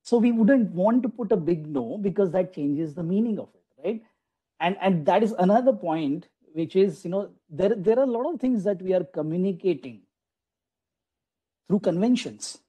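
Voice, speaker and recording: Soft-toned man giving a relaxed online talk. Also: high voice, moderate pace, breathy edge. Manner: conversational, many restarts and "you know" asides. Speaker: guest lecturer at a faculty development program. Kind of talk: online lecture with slides.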